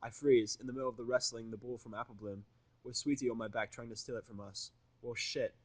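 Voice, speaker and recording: Male story reader, medium pitch, wry informal first-person narration, recorded very dry. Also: fairly even intonation, brisk, no room sound. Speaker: fan fiction reader.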